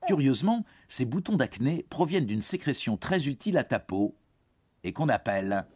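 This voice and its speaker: A man's voice: medium pitch, sing-song, normal speed, background noise. A man reads scripted educational narration for young teens, explaining things in a reassuring, informal way and addressing the listener directly. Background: music.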